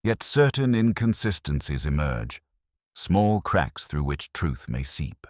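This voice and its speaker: A man voicing a fussy, meticulous older Belgian detective, speaking in a measured, precise and formal way. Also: extremely low pitch, very animated, no room sound, clean studio sound, exaggerated voice.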